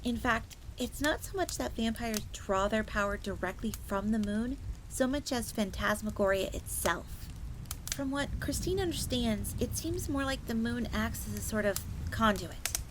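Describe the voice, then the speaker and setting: A woman's voice: on the high side, normal speed, lightly acted. A woman voicing a young, earnest, secretive fox maid in a scripted fantasy audio roleplay, confiding in the listener. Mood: explaining, curious. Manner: speaks of herself in third person, confiding. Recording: crackling fire noise behind voice. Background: crackling fire.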